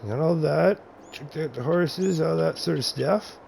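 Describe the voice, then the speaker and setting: A man with a gravelly, mid-pitched voice walks through his game farm in a relaxed, rambling way. He often corrects himself mid-sentence and sounds a little raspy from chest congestion. A faint hiss sits under his voice. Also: a little slow. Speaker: gaming channel host. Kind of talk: casual game map tour commentary.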